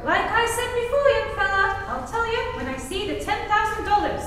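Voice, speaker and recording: A woman's voice: lively intonation, normal speed, fairly bright tone. A woman playing a blunt, stubborn, money-minded farm woman in an old-time radio play, with a rustic way of talking. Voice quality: high-pitched. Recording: echoey hall, distant mic, faint noise.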